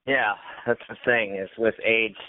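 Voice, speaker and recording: A man's voice: fairly low pitch, steady tone, unhurried. A man in his thirties hosting a casual podcast, laughing often, self-deprecating and swearing freely. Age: 30s. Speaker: podcast host. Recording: dry, tinny phone-like sound.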